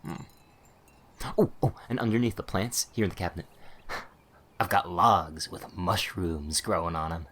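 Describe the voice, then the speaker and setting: A man's voice: sits low, a little slow, long pauses, a bit bright, slight background noise. A man playing a talkative, easily flustered male witch shopkeeper in an ASMR roleplay, rambling and stammering as he eagerly explains things. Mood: enthusiastic, rambling.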